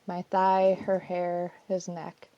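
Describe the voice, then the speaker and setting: A woman's voice: lowish voice, completely flat delivery, takes it slowly, dry recording, dull audio. A woman reading her own personal essay as scripted first-person narration.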